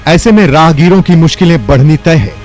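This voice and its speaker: A man's voice: on the high side, fairly quick, darkish tone. Formal male news narrator over music.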